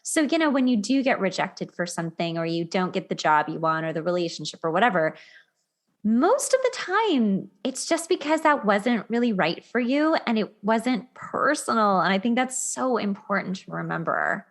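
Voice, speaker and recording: A woman's voice: medium pitch, sing-song, moderate pace. A woman hosting a podcast in a casual, conversational style, affirming and emphatic, repeating points for emphasis. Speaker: podcast host.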